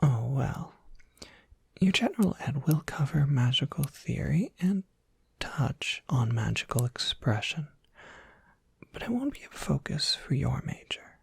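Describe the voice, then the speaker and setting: An ASMR performer playing a courteous, soft-spoken university professor, whispering in formal, measured phrases with a breathy, husky voice. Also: medium pitch, no echo, exaggerated voice. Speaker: professor overseeing a college major.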